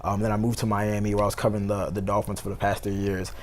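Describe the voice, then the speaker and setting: Fast-talking American man with a lowish voice. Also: level tone, slight background noise. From Baltimore, United States. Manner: conversational, heavy use of "you know" and "like". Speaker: sports reporter, guest on a talk show. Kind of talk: casual sports analysis.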